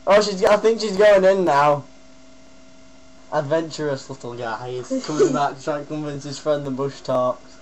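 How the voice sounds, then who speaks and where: A man's voice: fairly high pitch, normal speed. A young man with a British accent giving casual running commentary as a prankster. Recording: noisy amateur recording with mains hum.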